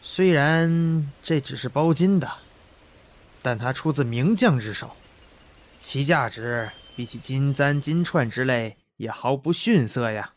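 A man playing a cheerful, friendly young gentleman in a costume radio drama. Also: mid-range voice, a little slow, natural voice. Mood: friendly, generous. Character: cheerful, generous young gentleman, second son.

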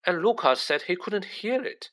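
A man's voice: high voice, animated, normal speed, fairly bright tone, voice pushed up. A man voicing a weary, grumbling schoolboy in a storybook. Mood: puzzled. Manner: groaning, complaining. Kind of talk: audiobook character acting.

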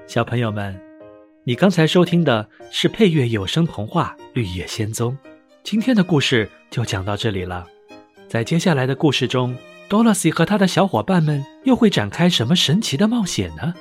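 A man narrating a scripted bedtime story for children in an expressive, clearly phrased way. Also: mid-range voice, normal speed. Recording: soft music under narration.

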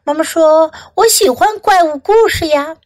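A woman's voice: extremely high pitch, moderate pace, darkish tone, dry recording, studio quality, voice pushed up. A woman voicing an affectionate, bossy storybook mother with a motherly, emphatic way of talking. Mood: affectionate, matter-of-fact.

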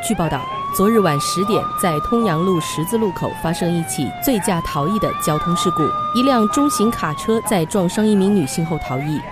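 A woman's voice: a little high, huge pitch swings, brisk, few pauses. A woman playing a formal news anchor in an audio drama, reading a scripted bulletin with careful enunciation. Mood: formal, grave. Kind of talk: scripted newsreading. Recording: sirens and loud noise behind.

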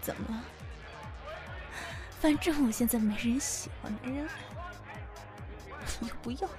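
A woman acting as a clingy, scornful young drunk woman in an audio drama. Her voice is high and she draws her words out slowly and dramatically. Loud music plays behind her. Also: close to an everyday voice. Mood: drunken, bitter. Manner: pleading, dramatic, drawn-out delivery.

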